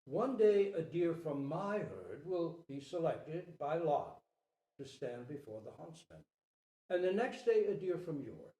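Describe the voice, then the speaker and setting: A man playing a noble, solemn stag leader, grave and deliberate, in a storybook reading. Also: fairly high pitch, very animated, dark tone. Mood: grave resolve. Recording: roomy, distant microphone.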